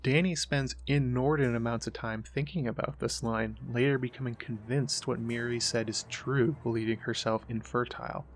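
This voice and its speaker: A man's voice: mid-range voice, fairly even intonation, moderate pace, few pauses, slight background noise. A man with a mid-range voice hosts and narrates a scripted essay. He delivers it as prepared commentary, explaining his points in full, composed sentences. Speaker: host of a book and mythology analysis show.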